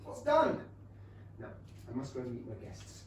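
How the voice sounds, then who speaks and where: An actor playing a boyishly enthusiastic, rich young Regency gentleman with an English accent in a staged comedy reading. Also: mid-range voice, huge pitch swings, normal speed. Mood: cheerful, affectionate. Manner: eager, gushing. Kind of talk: staged reading of a comic play. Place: small theatre stage. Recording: roomy theatre, distant mic, faint noise.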